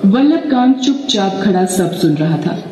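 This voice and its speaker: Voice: medium pitch, animated, moderate pace, dark tone, recorded from a distance, a little hiss or hum. A narrator telling a dramatic scripted puppet show story, heard with long hall reverb.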